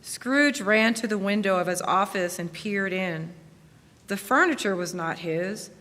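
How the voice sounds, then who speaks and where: A woman delivering measured, dramatic scripted narration in a staged story reading. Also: mid-range voice. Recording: reverberant hall, loud background noise.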